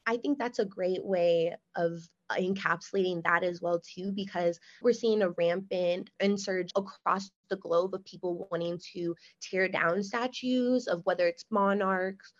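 A woman's voice: on the low side, a little slow, hardly any pauses. A young woman hosting an interview, speaking earnestly and enthusiastically, with "like" as a filler. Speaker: podcast host. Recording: dull lo-fi sound.

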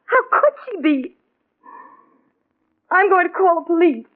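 High-voiced fretful American wife. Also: female voice, big pitch swings, measured pace, heavily acted. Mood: fretful, plaintive. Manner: worried, insistent, plaintive. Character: anxious, fretful wife searching for her missing mother. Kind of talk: 1940s radio drama acting. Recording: tinny narrow-band old radio recording.

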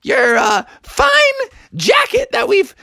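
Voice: very high-pitched, huge pitch swings, slow pace, creaky, sharp tone, dry recording, clean studio sound, voice pushed up, heavily acted. An adult storyteller voicing an exasperated mother frog, nagging sternly and shrilly. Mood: scolding.

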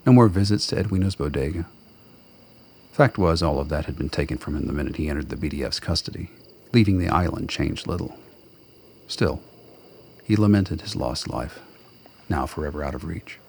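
A man narrating a scripted radio drama in a measured, wry storytelling manner. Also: very deep voice, vocal fry, a little mellow, breathy edge.

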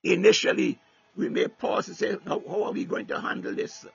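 A man's voice: sits high, steady tone, moderate pace, creaky, breathy edge. A man preaching a fervent sermon with prayer, repeating "my God" between phrases and breaking into tongues. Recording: dull lo-fi recording.

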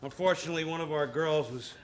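An actor playing a blunt, crude Broadway producer running dance auditions. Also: male voice, on the high side, fast, heavy background noise, natural voice. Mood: brusque.

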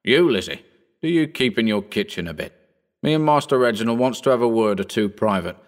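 A man's voice: medium pitch, expressive, a little fast, close to an everyday voice. A man voicing an elderly countryman who speaks in rustic dialect and grumbles proudly. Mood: grumbling. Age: older.

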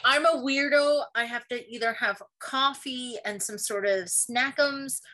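A woman's voice: high-pitched, a little slow, bright, forceful tone. A woman bantering casually as a podcast co-host, playful and self-mocking.

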